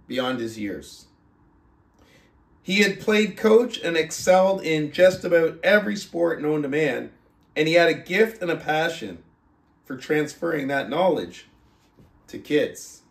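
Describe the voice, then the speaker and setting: Higher-voiced man in an echoey room. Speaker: podcast host, book club reader. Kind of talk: casual podcast talk and reading a book aloud. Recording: roomy, echoing sound.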